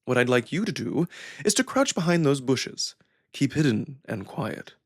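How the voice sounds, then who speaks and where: A man voicing a suave young jackal prince who speaks in a measured, smug, theatrically polite way. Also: mid-range voice, sing-song, no room sound, clean studio sound. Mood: composed, sly.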